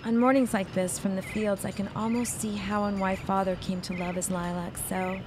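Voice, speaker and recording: A woman's voice: mid-range voice, little pitch movement, normal speed, noisy background, close to an everyday voice. A woman playing a refined grown daughter, wistful and haughty, speaking formally in a period audio drama. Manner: genteel, formal, dismissive.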